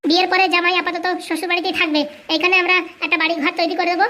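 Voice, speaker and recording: A woman's voice: very high voice, little pitch movement, rapid-fire, sharp tone. A woman playing a bossy, insistent male fixer who reassures everyone in a comic cartoon. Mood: bossy, reassuring. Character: bossy, brisk male fixer arranging a village wedding.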